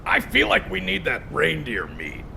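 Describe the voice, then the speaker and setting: Casting: eager, sincere young game soldier, gravelly and animated, music underneath. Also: male voice, on the high side, normal speed, slight background noise, full character voice. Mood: curious, eager. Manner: expressive, sincere. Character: eager, loyal young male soldier, hot-hearted sidekick. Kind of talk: video game cutscene dialogue. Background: background music.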